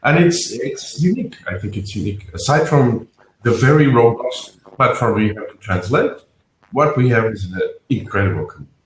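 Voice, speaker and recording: A man's voice: medium pitch, lively intonation, moderate pace. A man with a Portuguese accent, a guest on a panel, talking casually and rambling with lots of restarts. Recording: roomy echo.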